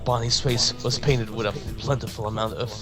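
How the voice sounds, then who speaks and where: A man reads fan fiction aloud in a dramatic storytelling style over prominent music. His pitch is average, and he occasionally trips on words and repeats himself. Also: fairly even intonation, fairly quick. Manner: stumbles and repeats words while reading. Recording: music behind the voice.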